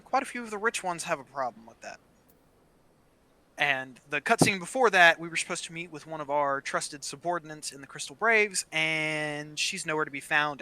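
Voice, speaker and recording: Bright, punchy higher-voiced man chatting casually. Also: poor audio. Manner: blunt, profane, storytelling. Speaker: gaming channel co-player. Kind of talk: casual chat during gameplay.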